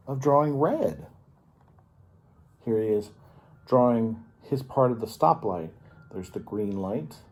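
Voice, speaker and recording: A man reading a picture book aloud to children as a storyteller, expressively and with dramatic pauses. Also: fairly low pitch, a little mellow, husky.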